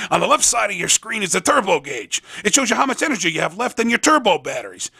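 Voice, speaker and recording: A young, cocky hoverboard race promoter for a video game. His high voice crackles with gravelly fry and moves around in lively swings. He talks in upbeat, slangy sales patter. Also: fairly quick, heavily acted. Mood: cocky, instructive. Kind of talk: scripted video game character dialogue.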